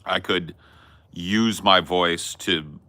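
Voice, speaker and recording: A man co-hosting a podcast, telling stories in a rambling, laid-back way with a loud, resonant voice. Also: low-pitched, very slow, no echo. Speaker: podcast co-host. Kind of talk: casual chat.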